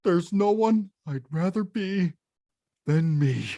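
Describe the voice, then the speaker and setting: A woman voicing a big-hearted, earnest bad guy brute in an animated film table read, speaking in an unhurried way. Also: lowish voice, dry recording, exaggerated voice. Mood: solemn resolve.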